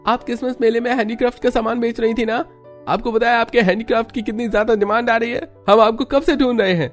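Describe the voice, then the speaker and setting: Man acting young influencer, high-pitched, eager, excitable, cartoon story, music behind. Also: quick pace. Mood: excited. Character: eager, excitable young social media influencer praising handicrafts. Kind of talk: Hindi cartoon story dialogue.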